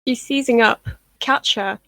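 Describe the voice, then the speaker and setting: A woman playing a brisk, firm healer in an audio drama, urgent yet reassuring. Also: on the high side, vocal fry, fairly bright tone, dry recording. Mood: alarmed. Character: brisk, commanding female healer tending the wounded.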